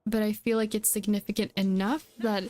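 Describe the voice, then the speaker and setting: A woman's voice: mid-range voice, little pitch movement, a little fast, darkish tone. A woman streaming a game, chatting casually in a hesitant, rambling way and often correcting herself. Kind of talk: casual chat while playing a game. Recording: music behind the voice. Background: background music.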